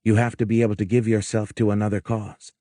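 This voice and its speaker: A man's voice: on the low side, level tone, fast talker, dark tone, no echo, clean studio sound, natural voice. A man voicing a young woman of the war years, caring, wry and bold, with an emphatic manner, in an audiobook. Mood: tender, urging.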